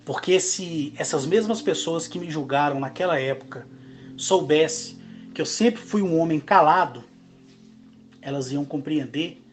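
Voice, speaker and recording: A Brazilian man in his forties, speaking in a slow, emphatic monologue broken into short phrases. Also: a little high. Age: 40s. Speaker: channel host. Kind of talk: monologue to camera.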